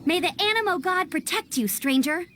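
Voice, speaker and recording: An actress playing an earnest, dutiful young female knight scout in a video game, brisk and eager, with music behind her. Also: very high voice, lively intonation, fairly bright tone, slight background noise. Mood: challenging. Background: game soundtrack music.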